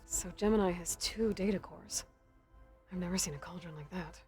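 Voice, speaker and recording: A woman's voice: lowish voice, moderate pace, darkish tone, lightly acted. A woman voicing a determined young hunter. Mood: curious. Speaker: protagonist of a video game. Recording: game audio with faint music.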